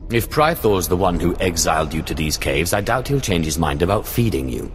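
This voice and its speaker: A man playing a cold, haughty Sith acolyte in a video game, speaking in a measured, disdainful way. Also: deep voice, very animated. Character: haughty, cold young male Sith acolyte, player hero. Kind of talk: video game dialogue acting. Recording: noisy game capture.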